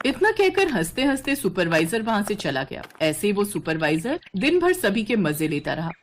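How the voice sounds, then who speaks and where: A woman's voice: medium pitch, sing-song, moderate pace, slight background noise. A woman reading a scripted moral story as its narrator.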